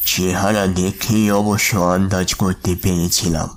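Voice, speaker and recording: An actor playing a respectful, dignified middle-aged gentleman, speaking in a deferential, measured way in an audio drama. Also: low voice, full character voice.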